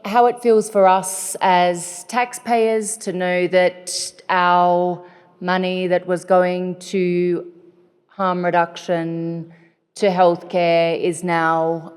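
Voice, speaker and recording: A woman moderating a conference session, speaking slowly and haltingly with many fillers before introducing the next speaker. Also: lowish voice, a little mellow, clear, resonant tone. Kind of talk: spoken introduction. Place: large conference hall. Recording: echoey conference hall.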